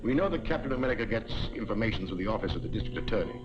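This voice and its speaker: An actor playing a calm, scheming villain in 1940s serial style. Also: male voice, on the low side, rapid-fire, over music. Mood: coldly menacing. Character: scheming calm villain plotting sabotage and murder. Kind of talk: 1940s film serial acting. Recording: dull lo-fi 1940s film soundtrack.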